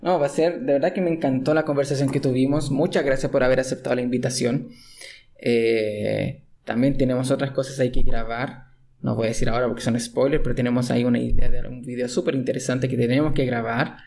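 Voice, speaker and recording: A young Chilean man with a gravelly, creaky voice hosts a relaxed podcast chat. He speaks in a mid register, circling back and restarting his sentences as he thinks. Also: mid-range voice, a little fast. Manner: hesitant, restarts and fillers. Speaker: podcast host. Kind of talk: casual conversational podcast.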